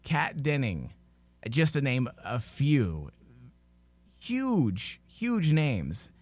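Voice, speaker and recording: A man's voice: medium pitch, wildly swooping pitch, extremely slow, darkish tone, no echo. A man hosting a podcast, talking informally and giving his opinions in a casual discussion. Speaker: podcast host.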